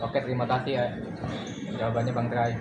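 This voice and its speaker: A man's voice: medium pitch, completely flat delivery, fairly quick, very noisy. Polite young man, dull echoey sound. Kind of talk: casual chat.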